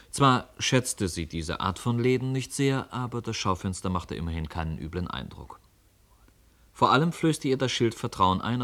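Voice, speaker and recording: Man, low voice, measured literary narration, expressive radio-play storyteller.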